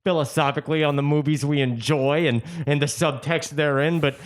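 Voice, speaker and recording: High-pitched, mock-serious radio host played by a man. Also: fairly even intonation, a little fast, close to an everyday voice. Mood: mock-grave. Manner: mock-serious, wordy, stumbling. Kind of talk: improvised comedy radio sketch.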